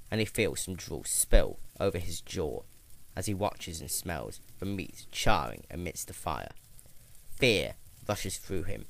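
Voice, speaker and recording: Male reader with a low, gravelly voice and lively pitch; dramatic storybook narration. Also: low-quality recording. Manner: expressive storytelling with slips and restarts. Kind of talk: dramatic reading of a fan story.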